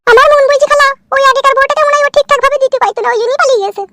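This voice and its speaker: A man voicing a coy, complaining young newlywed village wife in a comic cartoon, with a rural West Bengal accent and a pitched-up voice. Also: fairly even intonation, racing, background noise. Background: music.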